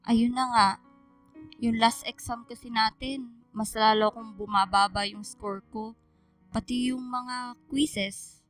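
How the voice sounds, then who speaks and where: A woman's voice: on the high side, fairly even intonation, slow pace, faint music behind. A woman playing a troubled, stubborn young student daughter in a radio drama, plaintive and emotional, with a Filipino accent. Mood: downcast.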